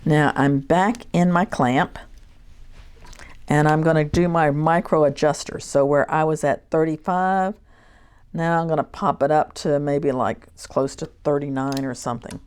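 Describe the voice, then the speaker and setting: A woman teaching shear sharpening, talking in a relaxed way and thinking aloud as she works in her workshop. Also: low-pitched, unhurried, smooth. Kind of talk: hands-on demonstration talk.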